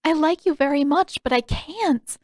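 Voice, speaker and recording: A woman voicing a gentle, regretful teenage princess in an audiobook, with soft, careful, polite phrasing. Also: high voice, moderate pace, dry recording, voice pushed up, natural voice. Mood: sad, earnest. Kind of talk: audiobook character dialogue.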